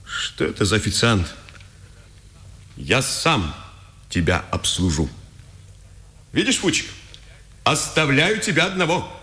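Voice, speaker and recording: Sharp, mocking Nazi officer. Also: male voice, medium pitch, wildly swooping pitch, slow pace, long pauses, heavily acted. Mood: gloating. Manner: theatrical, taunting, commanding. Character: mocking Nazi secret-police officer, domineering, sly, self-satisfied. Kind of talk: 1950s radio drama acting. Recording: 1950s radio recording, faint noise.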